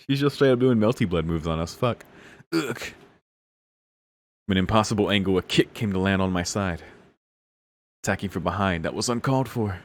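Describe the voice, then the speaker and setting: A man's voice: sits low, moderate pace, long pauses. A man streaming a visual novel, reading the text aloud in a casual way and reacting with incredulous, jokey swearing. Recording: music playing behind the voice. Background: guitar music of a game soundtrack.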